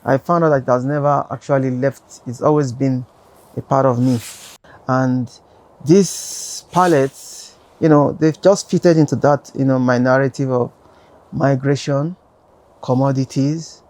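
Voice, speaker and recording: A man's voice: mid-range voice, fairly even intonation, a little slow, a little mellow. A male artist from Nigeria speaking reflectively about his work, constantly dropping "you know". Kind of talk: talking about his artwork.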